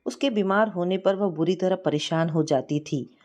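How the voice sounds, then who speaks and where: A woman narrating a scripted story in an expressive, sentimental manner. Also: on the low side, moderate pace.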